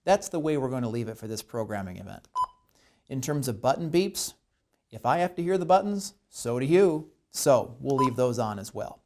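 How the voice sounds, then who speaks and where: A man's voice: medium pitch, animated, moderate pace, darkish tone, slight background noise. An American man presenting an instructional tutorial, explaining each step methodically and stressing the key points.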